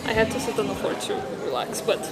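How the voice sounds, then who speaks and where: A woman's voice: mid-range voice, animated, quick pace. A young woman from a post-Soviet country, a native Russian speaker, talking in a chatty and earnest way, full of "like" fillers, as she vlogs her travels. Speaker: travel vlogger. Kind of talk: casual vlog monologue. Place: outdoors and in shops and cafes on a trip. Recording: loud background music.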